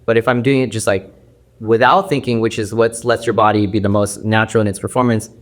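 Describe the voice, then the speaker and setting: A man's voice: on the low side, fairly even intonation, fast talker. A man guest on a podcast who talks in a loose, rambling way, with many fillers and restarts. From United States. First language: Mandarin. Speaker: actor guest. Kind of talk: casual interview talk.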